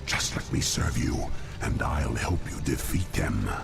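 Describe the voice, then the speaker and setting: An actor playing a scheming demon dreadlord in a game cutscene, formal and oily with an undertone of menace. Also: male voice, extremely low pitch, lively intonation, measured pace, music in the background, full character voice. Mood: pleading. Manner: smooth-worded, formal, menacing. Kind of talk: video game cutscene acting.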